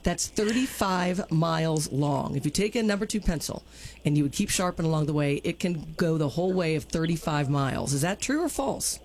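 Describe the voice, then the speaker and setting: A woman co-hosting a studio show and running a trivia game, talking with lively banter and laughing often. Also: low voice, a little fast.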